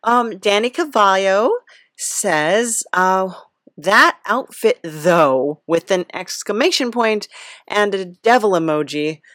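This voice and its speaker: Woman host, casual comedy interview. Medium pitch, slow halting delivery, giggly, dry close sound. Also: animated, fairly bright tone, no echo.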